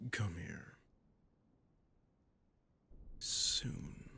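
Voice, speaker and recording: Low, breathy, sadistic vampire lord acted by a man. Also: bright, forceful tone, exaggerated voice. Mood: commanding. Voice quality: breathy, husky, often near-whispered. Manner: slow, formal, silky, condescending. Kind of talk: intimate scripted roleplay audio acting.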